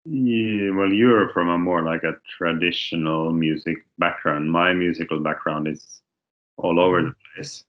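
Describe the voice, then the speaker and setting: A man with a very deep voice speaks casually and a little haltingly, restarting his phrases. The recording sounds dull and lo-fi, missing its brightness. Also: steady tone. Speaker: guest musician. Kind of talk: interview conversation.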